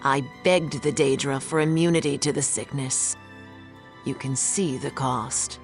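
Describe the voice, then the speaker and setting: Undead female elder for fantasy game: grave, regretful, low register. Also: steady tone, measured pace, soft background music.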